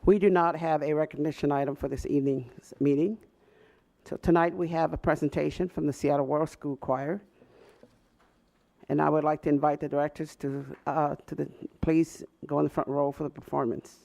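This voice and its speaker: Male board chair, slightly high and gravelly, formal meeting delivery. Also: fairly even intonation, normal speed, a little mellow.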